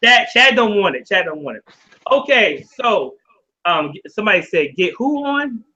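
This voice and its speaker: A man hosting a casual live video chat, rambling, laughing often and getting excited. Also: sits high, moderate pace. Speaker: show host. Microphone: video call. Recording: dull lo-fi video call audio.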